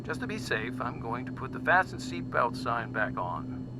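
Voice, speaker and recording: An actor playing a condescending, cocky private jet captain in an audio drama, pompous and commanding in manner. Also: male voice, mid-range voice, sing-song, a little slow, heavy background noise. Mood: cheery, polished. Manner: pompous, smooth cabin announcements that snap into barking.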